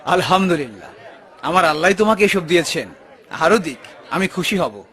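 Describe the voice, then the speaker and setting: A man voicing a humble, pious poor man in an animated moral story, speaking earnestly like a moral adviser. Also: fairly high pitch, moderate pace, some chatter behind. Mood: grateful, friendly. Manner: earnest, admonishing.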